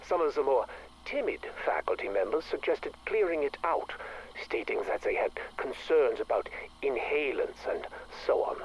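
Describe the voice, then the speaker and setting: An actor playing a proud, cold scientist director who speaks formally and with measured pomposity in a video game audio log. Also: male voice, mid-range voice, very animated, a little hiss or hum, natural voice, poor audio. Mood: proud, clinical. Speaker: project director.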